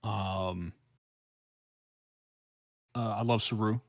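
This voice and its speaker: A man's voice: fairly low pitch, steady tone, very slow, dry recording, studio quality. An American man chatting casually on a podcast, hesitant and repeating phrases as he searches for his words. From South Central Los Angeles, United States. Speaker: podcast co-host.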